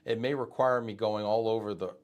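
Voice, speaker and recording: A man from Texas hosting a live interview, talking in quick conversational bursts with many asides and fillers. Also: lowish voice, fairly even intonation. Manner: talks fast with many asides and fillers, speaks to viewers directly. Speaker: host of a live show.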